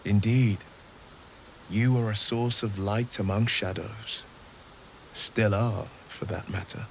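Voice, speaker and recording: An actor playing an earnest, noble young adventurer in a video game, with a heartfelt and eloquent delivery. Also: male voice, on the low side, fairly even intonation, slow, gravelly, a little hiss or hum, poor audio. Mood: warm, courtly. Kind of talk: dramatic video game acting.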